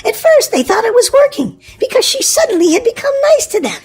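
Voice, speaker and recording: A woman reading a children's storybook aloud in an expressive, animated way. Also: extremely high pitch, brisk, soft background music. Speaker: storybook narrator.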